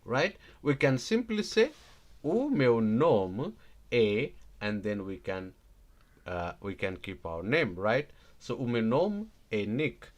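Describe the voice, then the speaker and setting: A man teaching a live online language lesson, repeating example phrases slowly and checking in with "right?" after each one. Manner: animated, stresses words with sudden loud high jumps.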